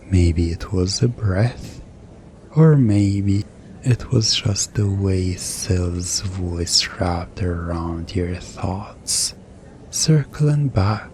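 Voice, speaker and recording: A man performing a hypnosis ASMR roleplay as a hypnotist, speaking in a soothing, deliberate, hypnotic way with pauses. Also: low voice, dark tone, a little hiss or hum.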